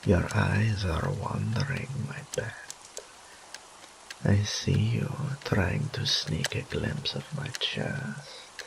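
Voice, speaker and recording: A man acts out a seductive male incubus demon for a roleplay audio drama, teasing and doting. The voice swings widely from purr to whisper with a gravelly edge. The delivery is a theatrical, very unhurried drawl, over a faint wash of rain. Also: low voice, sing-song, slight background noise, exaggerated voice, poor audio. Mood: flirtatious. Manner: theatrical drawl, purring, breathy whispered asides.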